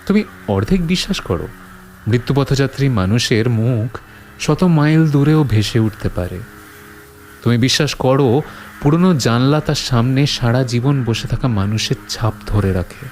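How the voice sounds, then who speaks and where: A man's voice: medium pitch, lively intonation, moderate pace, a little mellow, lightly acted. A man playing a skeptical, down-to-earth friend in an audio horror drama, scoffing and matter-of-fact. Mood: dismissive. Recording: clear outdoor ambience noise behind.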